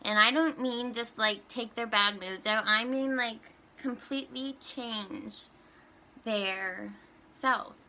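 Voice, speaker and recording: A woman's voice: on the high side, fairly even intonation, slow pace, sharp tone. A young woman ranting casually in a vlog, exasperated and swearing, with lots of "like" fillers. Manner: exasperated, swears, heavy use of "like". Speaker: vlogger.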